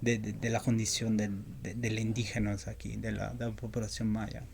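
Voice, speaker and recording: A young Italian man with an Italian accent speaking non-native Spanish in an interview, pausing often and repeating words as he searches for them. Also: lowish voice, monotone, creaky, a little hiss or hum. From Italy. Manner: halting, many repeated words and fillers. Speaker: interview guest, graduate researcher. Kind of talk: casual interview answers.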